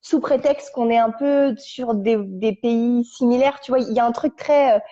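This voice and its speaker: A young woman, a podcast guest, casually telling her story at home on a couch, halting and restarting as she searches for her words. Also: a little high, mellow. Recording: dull lo-fi recording.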